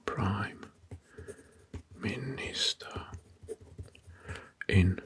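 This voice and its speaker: A man narrating in a whispered ASMR style, slow and deliberate with a breathy voice, close to the mic. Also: on the low side. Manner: slow, deliberate, repeats words softly. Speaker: host of ASMR history video. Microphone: close mic, dry.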